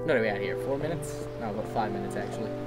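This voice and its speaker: A man's voice: fairly low pitch, racing, low-quality recording. A man giving casual, rambling gameplay commentary, self-deprecating and given to swearing. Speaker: let's play commentator. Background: game music.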